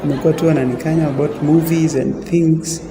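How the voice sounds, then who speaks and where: A man's voice: on the high side, steady tone, fairly quick, lightly acted. A man acting as a troubled, hesitant student confiding in a friend in a radio drama. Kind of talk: radio drama dialogue, code-switching casually. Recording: echoey, noisy public space with crowd.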